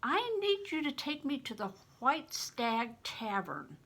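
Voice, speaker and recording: An earnest young boy apprentice in a storybook speaks in a high, urgent, bright voice, as a grandmother puts him on. The pitch leaps about, and the tone stays breathy. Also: very animated, slow pace, full character voice.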